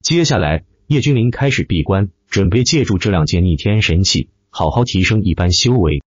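A man's voice: low voice, animated, normal speed, breathy edge, no room sound, muffled recording. A man narrating a dramatic fantasy audiobook from a script.

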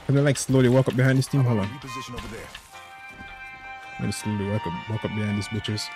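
A man with a Jamaican accent trades fast callouts with his squad in gaming voice chat, repeating warnings to be careful. Loud game music and gunfire play behind him. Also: on the low side, fairly even intonation. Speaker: squad teammate.

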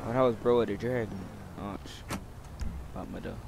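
A young man with a fairly low voice narrates his roleplay gaming session in loose, profane slang, half talking to himself. Loud car and street noise from the game runs behind him. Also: moderate pace, creaky. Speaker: gamer recording gameplay video. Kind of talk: casual gaming commentary, roleplay. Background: video game car and city sounds.

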